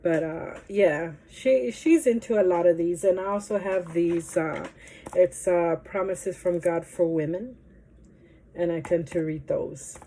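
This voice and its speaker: A woman's voice: on the low side, measured pace, mellow. A woman chatting casually to her camera in a rambling, hesitant way, her nose stuffy from allergies. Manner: rambling, hesitant, many fillers. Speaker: vlogger.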